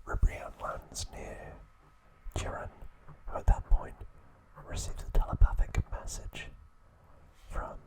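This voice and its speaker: Slow whispering man, ASMR narrator. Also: low-quality recording. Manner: soft, unhurried, deliberate whispering. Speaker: ASMR host.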